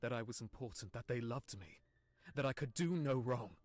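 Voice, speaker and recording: A man playing a guarded, heartbroken young nobleman in a visual novel, speaking in an earnest, measured way. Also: medium pitch, animated, dry recording, lightly acted. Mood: bitter, hurt. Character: heartbroken young nobleman, guarded, wounded, confiding in his servant. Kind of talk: visual novel character acting.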